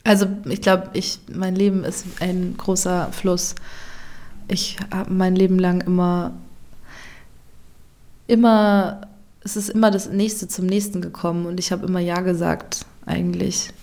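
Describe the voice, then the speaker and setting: A woman chef in a casual interview, speaking reflectively and often pausing to search for words. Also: lowish voice, flat delivery, darkish tone. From Germany.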